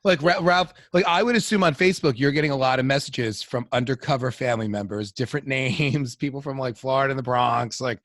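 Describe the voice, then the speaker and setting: A man's voice: medium pitch, a little fast, hardly any pauses, a bit bright, no room sound. Male co-host: mid-range voice, loose joking ramble, dry close mic. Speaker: podcast co-host. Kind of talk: casual podcast chat.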